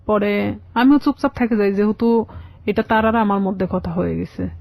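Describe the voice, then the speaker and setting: Creaky-voiced woman recounting her life story. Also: mid-range voice, fairly even intonation, moderate pace, darkish tone, no echo. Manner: recounts dialogue by quoting both sides. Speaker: radio show guest telling her life story. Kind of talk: conversational personal storytelling. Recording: slightly dull lo-fi radio audio.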